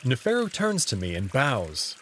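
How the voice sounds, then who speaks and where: A man's voice: mid-range voice, wildly swooping pitch, normal speed, fairly bright tone, a little hiss or hum. A man reading first-person story narration in the role of narrator.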